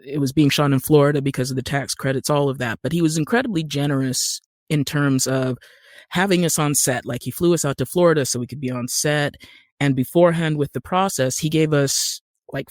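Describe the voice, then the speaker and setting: A woman's voice: sits low, darkish tone, no echo. Wry American woman, dry close sound. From Utah, United States. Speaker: guest actor and screenwriter. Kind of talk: casual podcast interview.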